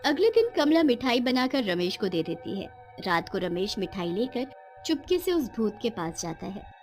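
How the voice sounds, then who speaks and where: Woman, story narrator, fairly high voice, scripted cartoon narration, faint music behind. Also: big pitch swings, normal speed. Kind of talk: scripted narration of an animated moral tale.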